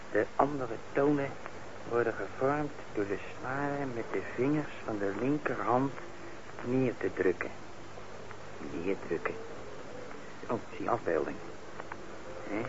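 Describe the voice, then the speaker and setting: A man's voice: medium pitch, animated, slow pace, long pauses. An actor playing a broke, sardonic young man in a radio play, grumbling and muttering in a naturalistic way. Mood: muttering, frustrated. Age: young adult. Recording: noisy, muffled old radio drama recording.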